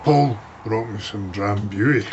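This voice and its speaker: An actor with a Scottish accent playing a chatty, grateful, housebound older father with a husky voice in a radio drama. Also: fairly low pitch, takes it slowly, a little mellow, a little hiss or hum. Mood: pleased. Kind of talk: naturalistic radio drama acting. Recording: muffled, dull sound.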